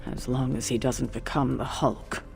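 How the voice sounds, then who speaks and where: An actress playing a stern, resolute female leader in a video game, measured and commanding. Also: rumbling low, steady tone, gravelly, a little mellow, faint music behind. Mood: firm, resolute. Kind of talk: dramatic video game acting.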